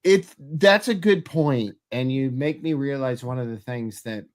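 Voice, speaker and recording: Male host, stuffed-up nasal voice from a cold, medium pitch, halting conversational delivery. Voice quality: nasal, congested. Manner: halting, searching for words. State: head cold. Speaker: podcast host. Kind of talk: casual, rambling show introduction and chat.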